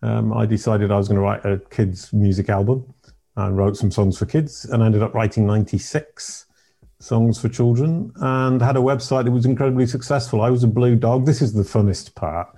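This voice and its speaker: A middle-aged man from Yorkshire with a deep voice, telling his life story as a podcast guest in a rambling, self-correcting way. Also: fairly even intonation, normal speed, dark tone. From Yorkshire, England. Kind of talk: casual interview storytelling.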